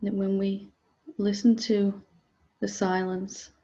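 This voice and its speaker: Mellow, even-toned woman guiding meditation. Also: medium pitch, dull audio. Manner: gentle, calm, unhurried with long pauses. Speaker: meditation teacher. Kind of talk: guided meditation, softly instructive.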